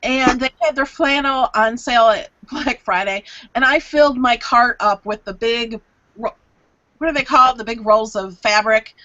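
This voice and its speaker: A woman's voice: a little high, normal speed, pushed and bright, highs cut off. Chatty woman co-host telling stories. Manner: chatty, shares personal anecdotes. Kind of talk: live online craft show chat.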